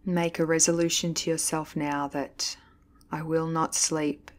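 A woman leading a guided yoga nidra meditation as an instructor, reading calmly and deliberately with measured pauses. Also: deep voice. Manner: calm, soothing, slow, deliberate pauses. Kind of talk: guided meditation, scripted narration.

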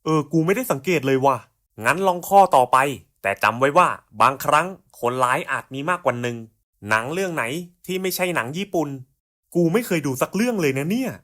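A man's voice: on the high side, fairly bright tone. A man voicing scripted cartoon puzzle skits in casual, slangy, coarse buddy-style banter.

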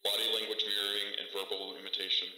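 A man playing an impersonal training-tape announcer in an analog horror video, giving formal, flat instructional narration. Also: lowish voice, quick pace, over a noisy crowd, close to an everyday voice. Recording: noisy, echoing horror video audio.

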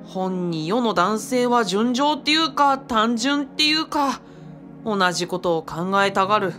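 An actress playing a wry, teasing, often exasperated companion to a spirit in a radio drama, with sardonic banter. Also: female voice, medium pitch, animated, a little slow, fairly bright tone, faint music behind.

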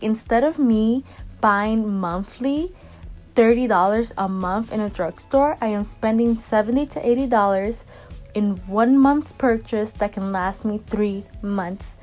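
A woman's voice: on the high side, a little slow, soft background music. Upbeat young Dominican woman vlogging. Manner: animated, talks with her hands, upbeat. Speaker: fashion and skincare vlogger. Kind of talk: casual chatty product review. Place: bright bedroom.

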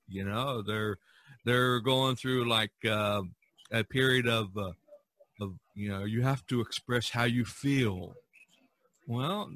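A man hosting a podcast, talking casually about art in a halting, rambling way. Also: mid-range voice, pushed and bright, no room sound. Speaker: podcast host.

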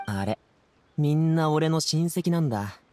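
Bright-spirited, high-pitched young lad. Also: male voice, expressive, loud music behind the voice. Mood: uneasy, reluctant. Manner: casual, brisk, friendly boyish speech. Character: energetic, friendly, chatty young man, good-natured working lad. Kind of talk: anime game voice acting.

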